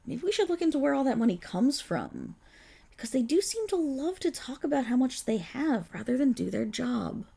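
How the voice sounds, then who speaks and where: A woman's voice: high-pitched, very animated, normal speed, creaky, dark tone, breathy edge, full character voice. A woman voicing a suave, scheming villain boss who talks in a silky, condescending way and purrs endearments, in an ASMR roleplay audio drama. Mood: scornful gossip. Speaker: voice actor.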